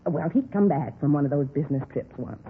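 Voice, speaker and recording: Casting: man as gossipy older busybody woman for old-time radio crime drama, very animated, tinny aged sound. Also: on the high side, a little fast, close to an everyday voice. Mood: confiding. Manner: rambling, confiding, gossipy. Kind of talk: 1950s radio acting. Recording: thin, noisy vintage radio recording.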